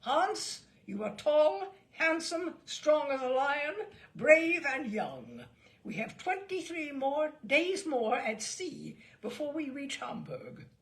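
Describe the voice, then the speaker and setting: A woman's voice: high-pitched, sing-song, slow pace, a bit bright, pitched above the performer's own voice, heavily acted. A woman voicing a bluff, fat old ship's captain who declaims and cajoles emphatically in a storybook reading. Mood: booming, cajoling.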